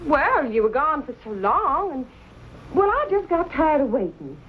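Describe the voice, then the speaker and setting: An actress playing a proud, wounded young frontier sweetheart with a pointed manner, in an old-time western drama. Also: female voice, high-pitched, very animated, moderate pace, dull audio. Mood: defiant, hurt.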